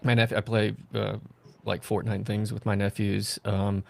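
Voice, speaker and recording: A man's voice: lowish voice, level tone, normal speed, vocal fry, a little mellow. A man in his thirties hosting a stream and chatting casually about games. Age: 30s. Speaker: comedy stream host. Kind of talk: casual gaming chat.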